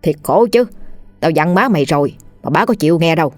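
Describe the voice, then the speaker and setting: A woman voicing a worried, gruff village father, in a low voice with a dry sound. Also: animated, a little fast, dry recording, faint music behind. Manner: gruff, scolding.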